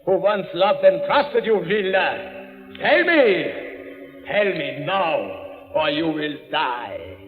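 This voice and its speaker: A man's voice: a little high, big pitch swings, very slow, full character voice. An actor playing the accusing ghost of a murdered father in a 1940s radio thriller, solemn, commanding and echoing. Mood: stern accusing. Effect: long ghostly reverb. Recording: dull old radio, cavernous reverb, music.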